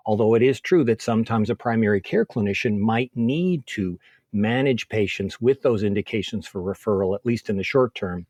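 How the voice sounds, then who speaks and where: A man speaking as a medical expert in an interview, explaining clinical guidance in a measured, methodical way. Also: fairly low pitch, darkish tone, no echo.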